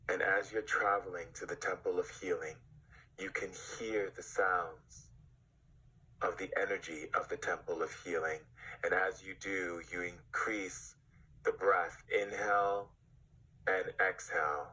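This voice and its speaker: A man leading a guided meditation with a husky, breathy voice, giving hypnotic, directive instructions with long pauses. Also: sits low, bright, forceful tone, rough recording.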